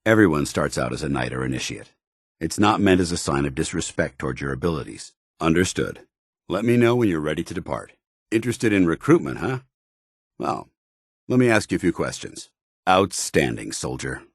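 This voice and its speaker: An actor playing a stern, duty-bound military officer in a video game, speaking formally and with command. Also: male voice, extremely low pitch, sing-song, a little fast, creaky, slightly rough, dry recording, clean studio sound, heavily acted. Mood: measured, formal. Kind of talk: video game companion dialogue.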